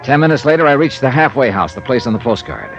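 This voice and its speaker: A man's voice: medium pitch, lively intonation, fast talker, close to an everyday voice. An actor playing a cool, tough American investigator, narrating his case in clipped, dramatic 1950s radio drama style. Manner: clipped, dramatic first-person storytelling. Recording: dull old radio recording, loud music.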